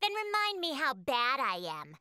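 A woman's voice: high-pitched, wildly swooping pitch, moderate pace, pushed and bright, no echo, studio quality, heavily acted. A woman voicing a bratty, competitive teenage devil girl who taunts and sneers. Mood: taunting. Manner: taunting, sarcastic; shrieks when scared.

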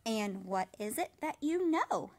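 A woman's voice: on the high side, big pitch swings, measured pace, darkish tone. A woman voicing a kindly, plain-spoken glowworm in a storybook, frank and matter-of-fact. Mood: curious.

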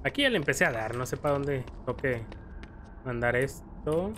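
A man's voice: mid-range voice, lively intonation, moderate pace, fairly bright tone, slight background noise. A man casually commenting while he plays a video game, thinking aloud and using lots of diminutives. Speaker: gaming YouTuber. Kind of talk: casual let's play commentary. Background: game music.

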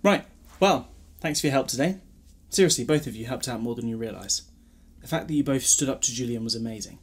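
A man's voice: mid-range voice, a little fast, breathy edge. A British man playing a glib, protective time-travelling hero in an audio drama, wry and chatty with a scolding streak. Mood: breezy, fond. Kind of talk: fan audio drama acting.

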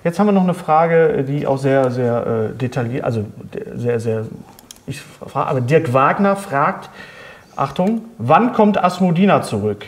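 A middle-aged man hosting a casual interview and reading out questions, often halting and repeating words. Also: medium pitch, expressive. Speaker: talk show host. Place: indoor studio set at a table.

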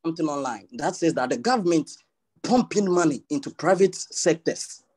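A man speaks on a video call panel and pauses often to hunt for the right word. His voice sits fairly high and rises and falls a lot. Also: lively intonation, a little mellow, slightly rough. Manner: hesitant, searching for words with fillers. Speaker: panelist and moderator. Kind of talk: debate remarks.

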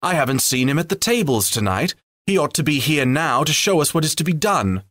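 A lively troop of young male elves, jovial and mocking, call out in bright, raised voices, as a male narrator plays them. Their speech swings widely up and down as they jeer. The sound is dry and close. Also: fairly high pitch, fairly quick, no echo, pitched above the performer's own voice. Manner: jeering, jovial. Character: merry young male elves, laughing and teasing.

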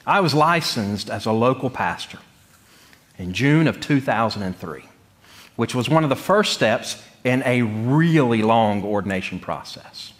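A middle-aged male pastor gives a sermon full of personal stories. His pitch moves widely and expressively, and he talks conversationally, with humor and deliberate pauses. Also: expressive, fairly bright tone. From North Carolina, United States. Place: church sanctuary, speaking from the pulpit.

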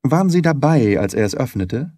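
A man playing a shrewd male detective in an audiobook. He is precise, probing and self-assured, explaining his reasoning courteously. His melody is animated and the sound is dry and close. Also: mid-range voice, normal speed, no room sound. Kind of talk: audiobook character dialogue.

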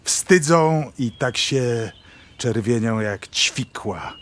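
Pompous, teasing aristocrat for radio verse drama; very slow, highly animated intonation. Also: male voice. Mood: patronizing. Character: jovial, teasing, tactless old-world count.

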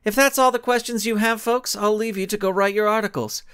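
A man's voice: high-pitched, steady tone, a little fast, a bit bright, clear, resonant tone, pitched above the performer's own voice. A man doing a breezy, self-assured superheroine. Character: confident glamorous superheroine woman, breezy.

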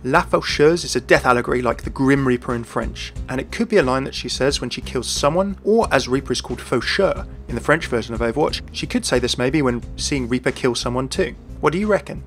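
A man's voice: mid-range voice, lively intonation, fairly quick, few pauses, soft background music. A man hosting a video, reading scripted commentary on a video game. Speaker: video host.

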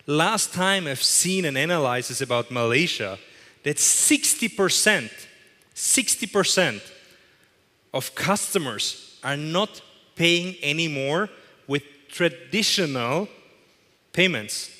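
Bright-voiced man giving a stage keynote. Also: on the high side, animated, takes it slowly. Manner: emphatic, repeats phrases for effect. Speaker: keynote presenter. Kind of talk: corporate keynote speech. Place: large event hall with audience. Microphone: headset mic. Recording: long hall reverb.

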